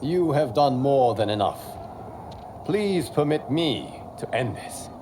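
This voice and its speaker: An actor playing a wise, serene monk guardian who speaks in a formal, measured way. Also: male voice, mid-range voice, expressive, very noisy. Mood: solemn. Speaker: leader of the champions.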